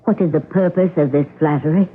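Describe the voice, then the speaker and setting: An actress playing an aging, poised and sardonic stage star under suspicion in a radio drama, speaking in a theatrical, measured, ladylike manner. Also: low-pitched, natural voice. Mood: coolly sardonic. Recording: noisy, narrow-band vintage radio drama recording.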